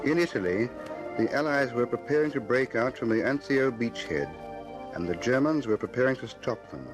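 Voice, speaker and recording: A man's voice: medium pitch, animated, moderate pace, music in the background, natural voice, low-quality recording. A man narrating a wartime radio drama in a sober, scripted storytelling style.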